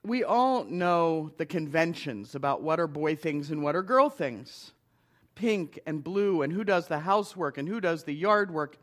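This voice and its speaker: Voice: medium pitch, lively intonation, unhurried. A middle-aged minister giving a conversational lecture to an audience, with wry asides.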